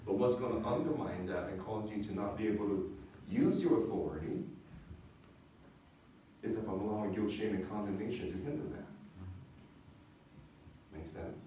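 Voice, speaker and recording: A man's voice: on the low side, moderate pace, long pauses, dark tone. A man in his thirties preaching a sermon as a pastor, teaching conversationally with repeated points and check-in questions, in a church. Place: church sanctuary, speaking from the stage. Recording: echoey church hall, distant mic, faint noise.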